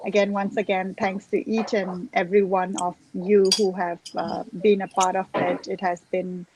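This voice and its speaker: A woman's voice: medium pitch, flat delivery, normal speed. A chatty woman host speaking over a video call, with faint noise hissing behind her. She sounds enthusiastic and talks loosely, stringing thoughts together with um and you know. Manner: enthusiastic, chatty, many fillers. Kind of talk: casual video call conversation. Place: United States.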